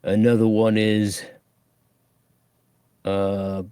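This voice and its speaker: A man's voice: on the low side, completely flat delivery, drawn-out words, mellow, clean tone, no echo. A British man chatting as a guest on a live stream, hesitant, repeating his words and playful. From United Kingdom. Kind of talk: casual live-stream chat.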